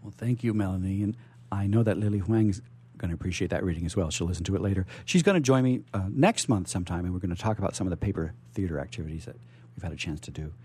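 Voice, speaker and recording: A man's voice: deep voice, fast, dark tone, breathy edge. A man hosting a radio show, chatting and introducing guests in an effusive, theatrical way. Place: radio studio.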